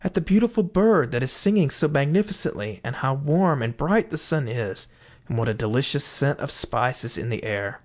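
A man's voice: mid-range voice, expressive, normal speed, darkish tone, close to an everyday voice. A man voicing a contented father in a fairy tale, speaking happily. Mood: admiring.

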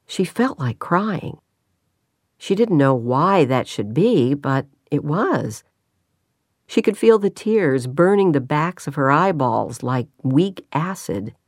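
A woman reads a novel aloud in a low, unhurried voice. Her delivery is measured and steady. The recording is dry and close, with no room sound. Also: dry recording. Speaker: audiobook narrator. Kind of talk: scripted narration.

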